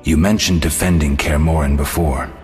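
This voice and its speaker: An actor playing a stoic, weary monster hunter in a video game, terse and understated. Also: male voice, a bass voice, steady tone, normal speed, darkish tone. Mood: flat, stoic. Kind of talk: dramatic video game dialogue. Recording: echoey hall reverb with music behind.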